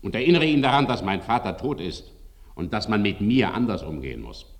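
An actor in a radio play voicing a ruthless, domineering landowner who gives curt, imperious orders. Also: male voice, fairly low pitch, big pitch swings, brisk. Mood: cold, commanding. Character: ruthless, domineering male landowner, cold and commanding.

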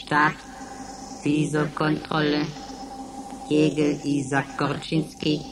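A woman playing an impassive computer in a radio drama, announcing in a flat, clipped, mechanical way. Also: deep voice, takes it slowly, over loud music. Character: Seneca, an impassive computer running roll-call checks.

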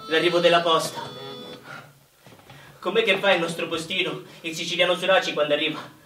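A man's voice: on the high side, fairly even intonation, a little fast, bright, forceful tone. An actor playing a shy, innocent, guilt-ridden young infantryman in a war drama, speaking earnestly. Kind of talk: live stage or radio war drama acting. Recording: roomy, distant microphone, music behind.

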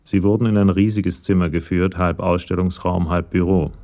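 A man's voice: low voice, fairly even intonation, normal speed, dark tone, lo-fi sound. A man narrating a scripted radio crime drama in a matter-of-fact manner.

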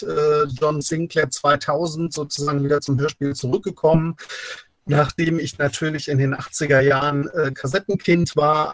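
Halting middle-aged man, dry sound. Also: mid-range voice, dry recording. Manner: halting, hesitant delivery with many filled pauses. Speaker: interview guest, audio drama writer. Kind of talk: casual interview chat.

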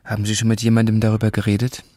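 Gentle thirties radio host played by an actor. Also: male voice, lowish voice, completely flat delivery, racing, no echo, close to an everyday voice. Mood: concerned. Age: 30s. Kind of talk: radio drama acting.

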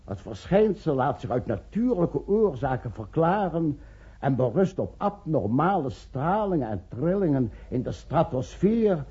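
A man acting an earnest, diplomatic professor in a Dutch radio play, speaking in formal, measured oratory. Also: fairly high pitch, sing-song, dark tone. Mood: formal reading. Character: earnest, diplomatic professor pleading with scientific colleagues. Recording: dull, lo-fi old radio recording.

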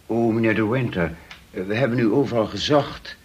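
An actor playing a deferential butler of a grand house in a radio drama, formal and servile. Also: male voice, on the low side, moderate pace, slightly rough, dull audio. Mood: urgent, respectful.

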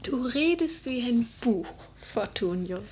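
High, breathy, sorrowful proud woman. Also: lively intonation, unhurried, a little mellow, exaggerated voice. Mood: weary, sad. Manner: grave, literary, theatrical phrasing. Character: proud, melancholy young woman in mourning, wounded and reserved. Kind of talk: literary German radio play acting.

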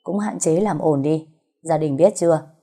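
Woman as hospital doctor, businesslike, stern, instructing, low mellow voice, audio storybook. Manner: brisk, instructing.

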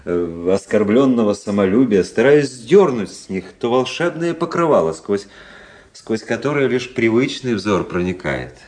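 An actor playing a cynical, cool young aristocratic officer in a Russian radio drama, with ironic, measured, literary delivery. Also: male voice, lowish voice, very animated, a little mellow. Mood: mocking, disdainful.

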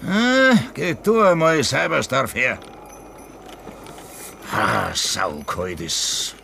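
An actor playing a gruff Bavarian man with a Bavarian accent who drives a sleigh through the snow in a radio play. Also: mid-range voice, wildly swooping pitch, extremely slow, pushed and bright, a little hiss or hum, full character voice. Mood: coaxing. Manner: gruff, blunt, grumbling. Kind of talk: 1960s radio play acting.

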